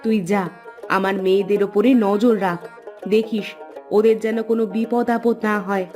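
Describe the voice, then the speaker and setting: A woman's voice: mid-range voice, little pitch movement, moderate pace, darkish tone, lightly acted. Worried mother and lady of the house female actor, mid-range voice, longing, cartoon drama, light music behind. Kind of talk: cartoon voice acting.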